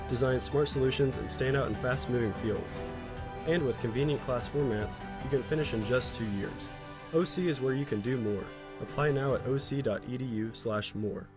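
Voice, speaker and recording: A man's voice: mid-range voice, normal speed, music in the background. A man reading a scripted radio commercial as a voice-over. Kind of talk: scripted university ad narration.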